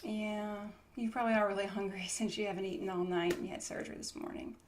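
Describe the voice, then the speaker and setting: A woman's voice: medium pitch, fairly even intonation, fast talker, a little hiss or hum. A woman doing an ASMR medical roleplay as a nurse, explaining each step in a casual, reassuring bedside way. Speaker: ASMR creator playing a trauma nurse.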